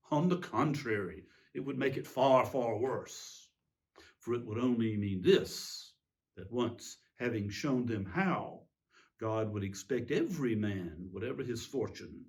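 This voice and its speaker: A man's voice: mid-range voice, natural voice. A man playing a weary, self-pitying tyrant king in a theatrical monologue, fussy, pompous and plaintive. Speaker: reader giving a dramatic reading. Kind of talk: theatrical dramatic monologue reading.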